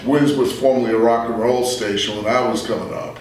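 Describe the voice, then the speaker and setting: A man's voice: medium pitch, fairly even intonation, a little fast, darkish tone, a little hiss or hum. Older man reminiscing in a very echoey room. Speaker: interview guest, radio veteran. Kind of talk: conversational reminiscing. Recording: very echoey room, distant microphone.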